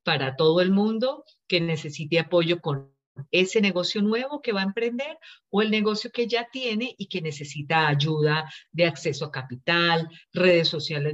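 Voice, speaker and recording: Brief: woman guest in her 40s, low voice, earnest consultant, muffled lo-fi sound. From Colombia. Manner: earnest, explanatory, laughs easily. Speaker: small business consultant, guest. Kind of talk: interview answers.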